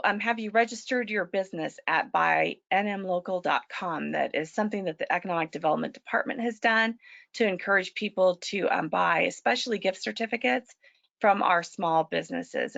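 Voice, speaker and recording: A woman presenting a small-business webinar, a little hoarse from allergies, often clearing her throat and saying um. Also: on the low side, normal speed, fairly bright tone, no echo. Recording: dull lo-fi web call audio.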